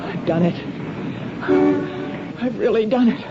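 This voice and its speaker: An actress playing an anxious, guilt-ridden wife in a 1970s radio drama, defensive, emotional and pleading. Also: female voice, fairly high pitch, unhurried, lightly acted. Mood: shaken. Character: anxious, guilt-ridden wife ashamed of her compulsive stealing. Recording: dull, lightly noisy old radio recording.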